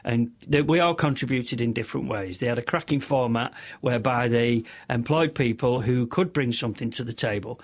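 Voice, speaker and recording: A middle-aged man with a squeaky voice, a radio interview guest who rambles through long stories with many restarts and self-deprecating asides. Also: fairly quick, hardly any pauses. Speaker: radio interview guest, motorcycle stunt show compere.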